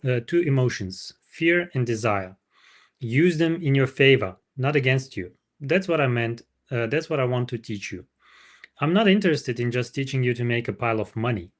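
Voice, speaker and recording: A man's voice: mid-range voice, close to an everyday voice. A man reading the storybook part of a wise, frank older mentor, speaking in an emphatic, teacherly way. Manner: didactic, emphatic.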